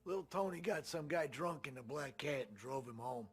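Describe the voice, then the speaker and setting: An actor playing a sly, slangy street informant who haggles, in a video game cutscene. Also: male voice, medium pitch, expressive, moderate pace, lightly acted. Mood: confiding. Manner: teasing, slangy.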